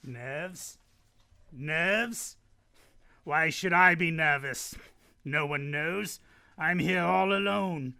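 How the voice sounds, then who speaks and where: A man's voice: fairly high pitch, drawn-out words, vocal fry, long pauses, pushed and bright, clear, resonant tone, exaggerated voice. An actor playing a mad male city chemist who poisons people, cackling and paranoid, in an old-time radio play. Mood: sly gloating. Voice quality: cackling, swings from whispers to shrieks.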